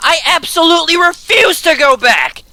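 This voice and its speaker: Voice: very high-pitched, expressive, moderate pace, background noise. An actor playing a hot-tempered, stubborn teenage boy in an amateur anime-style radio drama, blunt and combative. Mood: defiant.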